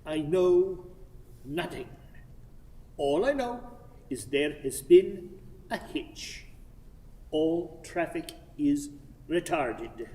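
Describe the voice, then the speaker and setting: Curt, slow-talking station master. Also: male voice, on the high side, lively intonation, warm tone. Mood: defensive. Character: gruff, evasive railway station master, put-upon and curt. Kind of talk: stage radio play acting. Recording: reverberant hall, faint noise.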